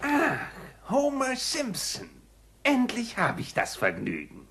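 An actor playing a scheming, imperious old cartoon tycoon with a raspy voice, oily and sardonic, in a German-dubbed audio play. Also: male voice, very high-pitched, huge pitch swings, takes it slowly, fairly bright tone, a little hiss or hum, exaggerated voice. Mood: oily, persuasive.

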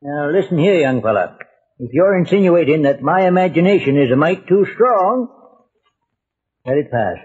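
High-pitched folksy old sea captain. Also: male voice, animated, moderate pace, a little mellow, slightly rough. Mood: indignant. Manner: folksy, colloquial yarn-spinning. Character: folksy tall-tale old sea captain, indignant and boastful. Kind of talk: old-time radio acting. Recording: muffled vintage radio broadcast recording.

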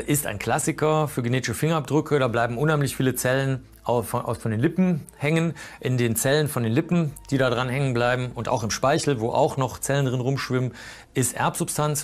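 A man forensic expert giving a chatty, vivid explanation of DNA traces in an interview. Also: mid-range voice.

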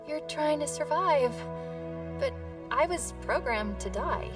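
A woman voicing a female AI construct who speaks in a calm, precise, clinical way. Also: high voice, slow pace, distant mic. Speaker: AI companion. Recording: game audio with music, off-mic.

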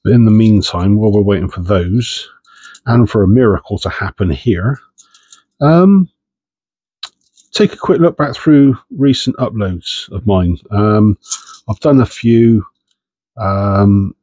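A man's voice: lowish voice, takes it slowly, pauses often, mellow, breathy edge, no echo. A man with a head cold, sniffing as he chats casually while sorting through coins for his channel. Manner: chatty, understated, self-deprecating. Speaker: coin-hunting channel host.

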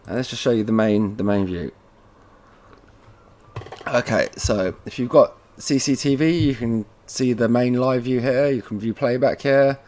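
A man with a mid-pitched voice walks viewers through software one step at a time. His delivery is casual and informal, like an installer showing the guys how it is done. He speaks as a voice-over from his computer. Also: unhurried. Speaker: tutorial presenter, installer.

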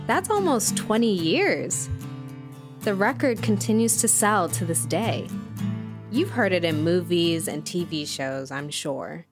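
A woman's voice: fairly low pitch, very animated, unhurried. A woman playing an earnest music show host, narrating a scripted audio documentary. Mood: measured narration. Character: female music podcast host, earnest storyteller.

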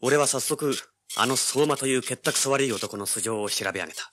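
An actor playing a tough, wary young treasure hunter in an audio drama, with a terse, dramatic delivery. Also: male voice, mid-range voice, fairly quick, a bit bright, a little hiss or hum, lightly acted. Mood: determined. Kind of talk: audio drama acting with first-person narration. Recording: music under the voice.

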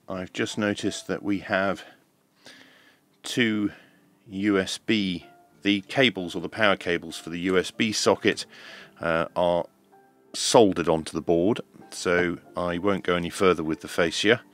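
Man, low pitch, unhurried pace, measured step-by-step tutorial commentary, background music. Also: steady tone, fairly bright tone. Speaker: host of an electronics teardown channel.

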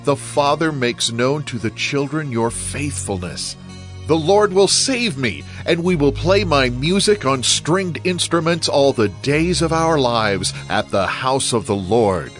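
A man's voice: mid-range voice, lively intonation, measured pace, a bit bright, soft background music. An actor playing an ailing, devout king in a dramatized scripture reading, speaking earnestly and theatrically. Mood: fervent hope. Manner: earnest, poetic, theatrical delivery.

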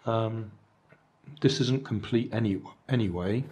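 Chatty older grandad, lowish voice. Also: male voice, unhurried, a little mellow. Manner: dry, chatty, musing asides. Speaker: vlog host reviewing charity shop finds. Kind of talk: casual show-and-tell narration. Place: indoors at a table, hands on camera.

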